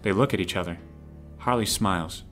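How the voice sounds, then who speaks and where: A man's voice: low-pitched, a little fast, a bit bright, soft background music. A man reading scripted narration as the narrator of an audio drama.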